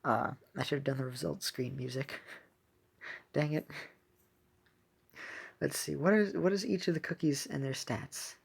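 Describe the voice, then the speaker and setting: A woman's voice: extremely low pitch, unhurried, creaky, long pauses, breathy edge. A woman giving casual, chatty gameplay commentary with a self-deprecating manner as she hosts a gaming video.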